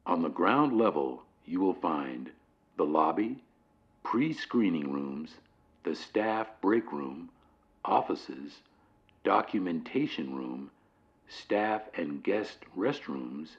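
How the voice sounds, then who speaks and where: A man playing a calm, formal corporate training guide in an analog horror video, speaking deliberately with measured pauses on a dull lo-fi recording. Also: a bass voice, creaky, exaggerated voice. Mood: measured, instructive. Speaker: narrator of fictional company training guide. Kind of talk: scripted instructional narration, analog horror.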